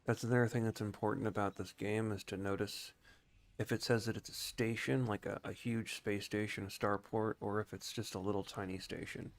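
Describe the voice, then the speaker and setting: Low-pitched man explaining a game casually. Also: level tone, brisk. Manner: explanatory, informal, thinking aloud. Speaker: game streamer. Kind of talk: casual gameplay commentary and explanation.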